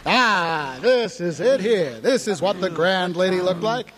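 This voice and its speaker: An actor playing a genial, unhurried uncle in an audio drama, talking in a musing, hesitant way. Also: male voice, sits high, animated, crowd noise. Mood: admiring. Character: genial uncle, unhurried, fond of family heirlooms.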